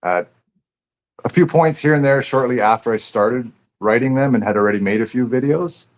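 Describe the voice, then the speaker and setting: A man speaking casually as an interviewed poet, reflective and halting as he searches for his words. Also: medium pitch. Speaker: poet and author being interviewed. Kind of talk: casual conversation about own writing. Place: live online stream.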